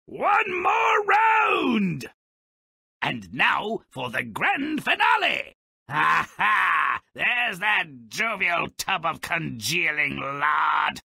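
A man voicing a mischievous, grumpy little creature as a sardonic video game narrator, with a gravelly, raspy voice and a mocking, grandiloquent manner. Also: high-pitched, huge pitch swings, drawn-out words, pushed and bright, no echo, raised voice, studio quality, exaggerated voice. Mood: maniacal glee. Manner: mocking, grandiloquent, relishing misfortune.

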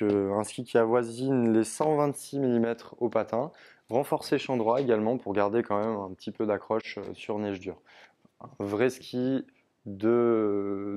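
Young Frenchman presenting skis, slightly low voice. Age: young adult. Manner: steady, informative, with frequent "euh" fillers. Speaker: ski sales advisor. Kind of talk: product presentation. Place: indoor shop studio in front of a ski wall.

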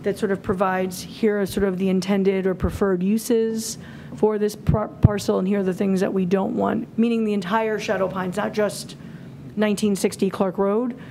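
A woman's voice: mid-range voice, level tone, moderate pace, dark tone, slight background noise. A woman in her forties presents a scoring rubric to a committee in a town hall auditorium, talking it through with many fillers and restarts. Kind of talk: public meeting presentation. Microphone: table microphone.

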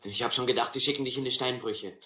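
A man's voice: medium pitch, steady tone, quick pace, sharp tone, roomy sound, a little hiss or hum, natural voice. A young man playing a restless, carefree vagabond in a German radio drama. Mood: eager.

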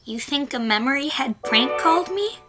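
A woman playing a calm, curious, puzzled young human in an understated comic dub. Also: sits high, normal speed, music in the background.

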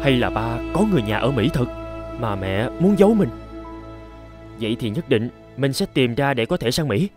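A man playing a resentful, ambitious teenage son from a poor home in an animated fairy tale, with an accusing, impatient manner. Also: medium pitch, moderate pace, over music, lightly acted. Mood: tense, bitter.

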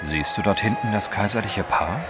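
An actor playing a debonair English gentleman adventurer, urbane, formal and dryly witty, in a German audio drama. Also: lowish voice, normal speed, loud music behind the voice, lightly acted. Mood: wryly amused.

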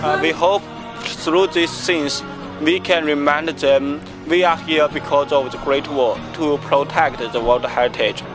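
A man's voice: fairly high pitch, fairly even intonation, a little slow, over music. A man giving earnest interview answers about volunteer work, speaking simple English.

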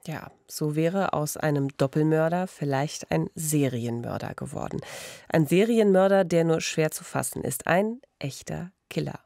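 A woman's voice: low voice, moderate pace, vocal fry, mellow, no room sound. A woman presenting a true-crime podcast conversationally, close on a studio microphone. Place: small radio studio. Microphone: close studio microphone, headphones.

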